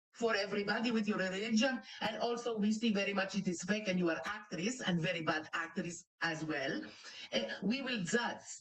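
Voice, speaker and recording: A woman with a Greek accent delivers blunt, indignant commentary in broken English. Her pitch sits in a middle range for a woman, and she sounds a little away from the mic. She talks as if lecturing someone who is not in the room. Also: recorded from a distance, poor audio. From Greece. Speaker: commentary channel host. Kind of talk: reaction commentary.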